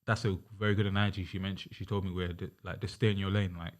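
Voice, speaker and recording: A man's voice: sits low, flat delivery, fast talker. A young man, a podcast guest talking earnestly in casual chat, with many restarts and fillers. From Uganda.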